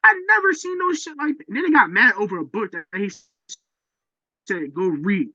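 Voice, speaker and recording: Podcast co-host, man, high and bright voice, slangy and emphatic, dull lo-fi recording. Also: animated, moderate pace, no echo. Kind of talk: casual opinion chat. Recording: dull lo-fi sound.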